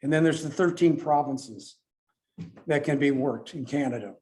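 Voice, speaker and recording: A man giving an informal explanatory presentation as a club speaker, in a halting manner with repeats and self-corrections. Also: mid-range voice, mellow. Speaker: club presenter explaining radio contest rules. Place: club meeting room with a few people present.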